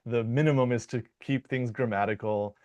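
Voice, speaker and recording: Relaxed, playful American man. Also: mid-range voice, moderate pace, clean tone, highs cut off. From Michigan, United States. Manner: relaxed, playful, many restarts and fillers. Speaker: dialect coach guest. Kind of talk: casual interview answer.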